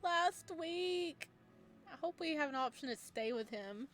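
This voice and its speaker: A woman with a fairly high voice plays through a romance visual novel, reading slowly and breaking off into playful, giggly asides. Quiet game music runs in the background. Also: lively intonation. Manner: giggly, playful reactions between read lines. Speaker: let's play host.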